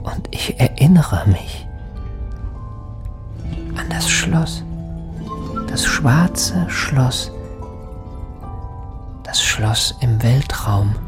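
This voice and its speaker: An actor playing a dreamy lost spirit in a radio play, speaking in hushed, halting, trance-like fragments. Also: male voice, medium pitch, animated. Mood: wondering, remembering. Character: dreamy male spirit, a wandering lost soul. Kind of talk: poetic radio play monologue. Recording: studio radio drama with music underneath. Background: music.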